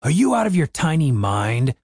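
A man's voice: a little high, very animated, moderate pace, a little mellow, no echo, clean studio sound. Man playing an exasperated, scolding fantasy adventurer. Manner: exasperated, indignant, pleading. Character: exasperated, scolding male adventurer, the party's anxious voice of reason.

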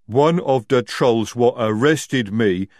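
A simple, bashful female troll, acted by a male narrator for a storybook. She talks slowly in plain, ungrammatical phrases, in an altered timbre. The sound is very dry. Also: medium pitch, darkish tone, no room sound, voice pushed up, natural voice. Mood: bashful, stubborn. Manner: slow, plain-spoken, ungrammatical.

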